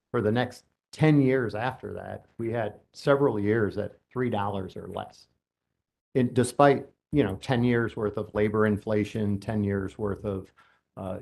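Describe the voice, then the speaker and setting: A man with a low, gravelly voice gives expert testimony at a formal hearing. He explains technical points at length in a steady, matter-of-fact way, walking through each point with care. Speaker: utility company expert witness.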